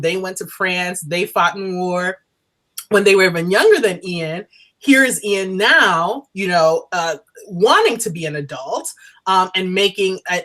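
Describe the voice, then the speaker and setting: A woman's voice: lowish voice, a bit bright. A woman chatting casually as co-host of a TV review show, emphatic and restating her points.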